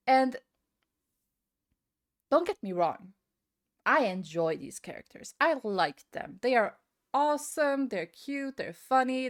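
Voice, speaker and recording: A woman speaks as a video creator sharing an informal rant with viewers. Her delivery is conversational and unscripted, moving in halting phrases. She puts clear emphasis on the points she cares about. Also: mid-range voice. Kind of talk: casual opinion rant to viewers.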